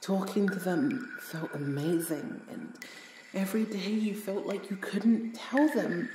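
Woman acting a slow-spoken, heartbroken supernatural woman. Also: lowish voice, far from the mic. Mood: sorrowful, wounded. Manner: emotional, halting, dramatic delivery. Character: bitter, heartbroken, vengeful yandere woman with supernatural power. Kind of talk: dramatic audio roleplay. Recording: roomy sound with faint noise.

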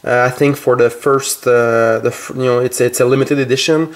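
A man with a French Canadian accent, his first language French, showing his collection in his home basement and talking haltingly with many fillers and self-corrections. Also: mid-range voice, steady tone. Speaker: collector vlogger. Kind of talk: casual collection tour. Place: home basement full of shelves.

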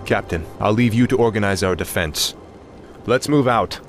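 A proud young warrior prince acted by a man in a fantasy game, obsessed and vengeful. He declaims with commanding intensity in a lowish voice, over loud music. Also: moderate pace, close to an everyday voice. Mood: grim determination. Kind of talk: fantasy video game cutscene acting.

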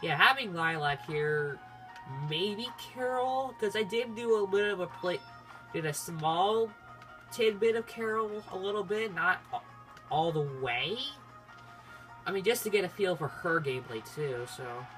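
A gamer hosting a casual playthrough, talking in a rambling, halting way and often cutting into their own sentences. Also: mid-range voice, lively intonation, a bit bright. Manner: halting, rambling, self-interrupting. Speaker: gaming video host. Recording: game music behind the voice. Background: video game music.

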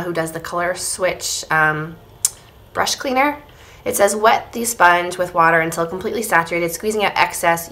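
A woman in her thirties, slightly hoarse, giving a casual beauty product review to camera at home. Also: on the low side, bright, forceful tone, a little hiss or hum. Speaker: beauty vlogger. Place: small room at home, indoors.

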